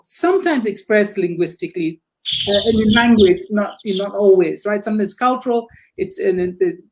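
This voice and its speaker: A woman critic in a panel discussion, thinking out loud with frequent restarts and fillers. Her pitch sits in an ordinary middle range. She comes through a video call. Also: rough recording. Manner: thoughtful, hesitant, many restarts and fillers.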